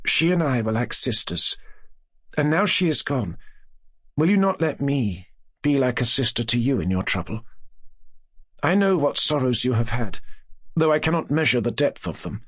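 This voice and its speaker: A man's voice: medium pitch, expressive, normal speed, long pauses, fairly bright tone, dry recording. A man voicing a sympathetic, sincere young married woman, as tender dialogue in a gothic audiobook. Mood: tender, pleading.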